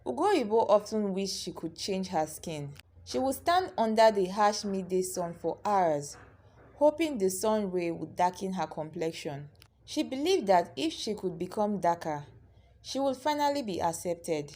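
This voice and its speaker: A woman storyteller narrating a scripted folktale in a dramatic, expressive way. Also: medium pitch, unhurried.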